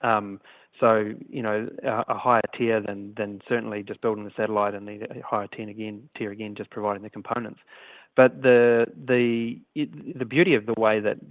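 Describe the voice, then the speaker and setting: A male executive fields questions on an earnings call in a fairly low voice. He speaks haltingly, often doubling back on his words. The sound is echoey and slightly muffled, as on a conference line. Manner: halting, repeats and restarts words. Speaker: company executive answering analysts. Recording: echoey conference call audio, band-limited.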